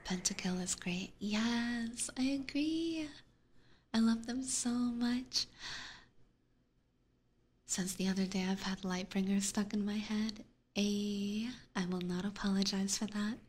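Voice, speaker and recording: A woman livestream host chatting casually in a soft, breathy ASMR style, often whispering, close to a binaural microphone. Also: medium pitch, slow. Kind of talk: ASMR casual chat with viewers. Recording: noticeable background noise, close microphone.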